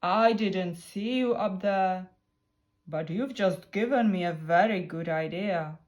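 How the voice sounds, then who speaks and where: A woman voicing a clever, calm male elephant in a storybook, thoughtful and reassuring. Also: on the low side, slow. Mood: bright, eager. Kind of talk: storybook character reading.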